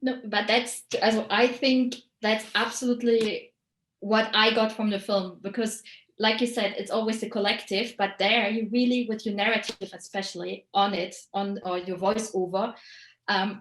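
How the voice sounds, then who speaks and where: A woman's voice: mid-range voice, echoey room, rough recording. A woman moderating a film festival Q&A, asking questions thoughtfully and searching for words. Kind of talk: festival Q&A conversation.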